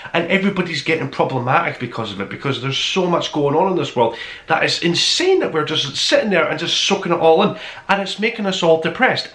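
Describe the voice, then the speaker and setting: Male review-show host, bright forceful voice, gushing and emphatic, recorded in a roomy space. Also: a little high. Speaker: host of a book review podcast. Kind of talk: casual review to camera, top five countdown. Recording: roomy, echoey indoor sound.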